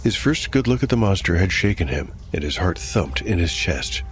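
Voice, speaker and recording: A man narrating a dark fantasy tale in a scripted, dramatic style as game master. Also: low-pitched, lively intonation, moderate pace, a little hiss or hum.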